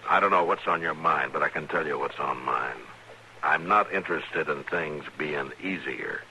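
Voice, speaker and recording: A stern western marshal, really low voice w/ a hard edge, american, laconic, old noisy radio recording. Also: male voice, pushed and bright, heavily acted. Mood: measured, firm. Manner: laconic, firm, deliberate. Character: steady, stern frontier town marshal.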